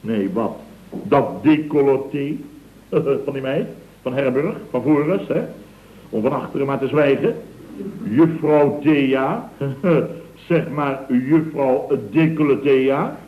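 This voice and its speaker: A man's voice: medium pitch, slow, close to an everyday voice. A man playing a blustering, self-righteous, jokey contractor boss, pompous and repetitive, in an old radio comedy serial. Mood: gossipy, amused. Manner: pompous, exclamatory, repeats himself in clipped bursts. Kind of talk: 1970s Dutch radio comedy acting. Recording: roomy, dull, noisy old radio recording.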